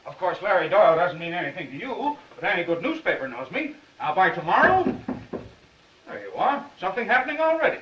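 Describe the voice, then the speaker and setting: A glib young American newspaperman in a 1930s comedy-drama, all cheerful bluff and eager patter. His pitch sits high and swings widely, bright and forceful, on a muffled old film soundtrack. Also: big pitch swings, a little fast. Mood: nervously jovial.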